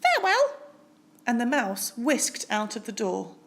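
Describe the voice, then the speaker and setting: A woman reading a children's storybook aloud in expressive narration with deliberate, dramatic phrasing. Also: mid-range voice, gravelly, slight background noise. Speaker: storybook reader.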